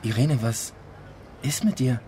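An actor playing a needy, bewildered rejected lover in a radio drama, pleading insistently. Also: male voice, mid-range voice, a little slow, dark tone, a little hiss or hum, close to an everyday voice. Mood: hurt, pleading.

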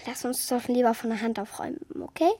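A woman playing a lively, cheeky little wooden puppet boy in a children's radio play, childlike and impulsive. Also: fairly high pitch, quick pace, natural voice. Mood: defiant. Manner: childlike, impulsive, whiny when impatient.

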